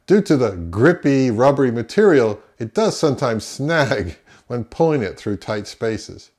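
A man's voice: mid-range voice, sing-song, a little slow, a little mellow. A middle-aged man, around his fifties, giving a conversational product review as the host of an audio gear channel. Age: 50s to early 60s. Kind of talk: conversational, to camera.

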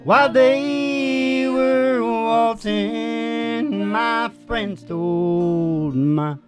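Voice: mid-range voice, very slow. A performer hosting a live acoustic country music show, with guitar in a lo-fi recording. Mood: singing. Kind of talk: casual joking stage banter between acoustic country songs.

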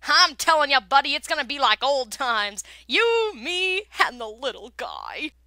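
A woman puts on an excitable little male cartoon meerkat. He is brash and exasperated, high and bright, with a chummy wisecracking patter. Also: no echo, clean studio sound, heavily acted. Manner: brash, fast-talking, exasperated.

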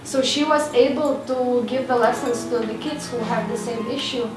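A young woman teacher explains steadily to an audience, with a slightly high voice. She sounds distant in an echoing hall, with music playing beneath her. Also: moderate pace. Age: young adult. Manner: plain, steady explaining with fillers. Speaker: student teacher presenting. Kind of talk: informal panel talk. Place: dim hall with seated audience. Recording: roomy far-off hall recording with music.

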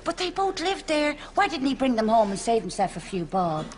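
A woman playing a naive, gossipy middle-aged Irish housewife in a sitcom, with deadpan delivery. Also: a little high, a little fast. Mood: puzzled. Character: dim, earthy, gossipy Irish housewife friend Winnie. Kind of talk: sitcom acting.

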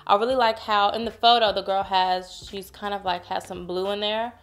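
A woman's voice: mid-range voice, flat delivery, moderate pace. A woman, chatting playfully through a makeup tutorial, sounding a bit congested. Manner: chatty, playful, addresses viewers as y'all. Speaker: beauty vlogger. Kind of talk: casual makeup tutorial chat. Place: home room, indoors.

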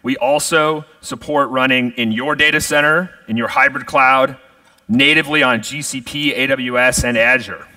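A man's voice: medium pitch, sharp tone, no echo, recorded from a distance, rough recording. A middle-aged man giving a polished, upbeat product keynote on a large conference stage. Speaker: keynote speaker.

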